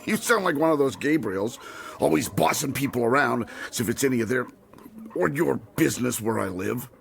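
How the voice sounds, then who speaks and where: An actor playing a stubborn, fearful man in his late sixties, gruff and halting, in an audio drama. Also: medium pitch, lively intonation, fairly bright tone, slight background noise. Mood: irritated. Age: 60s. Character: stubborn, fearful 68-year-old rural man, haunted and prickly.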